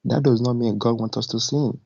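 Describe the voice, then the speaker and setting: A man's voice: mid-range voice, steady tone, fairly quick, darkish tone, slightly rough, no echo. A man from Nigeria, calling in to an argumentative debate, explaining his position firmly in formal words over a muffled connection. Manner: firm, explanatory, formal wording. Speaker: debate caller. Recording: muffled lo-fi call, dry, clicks.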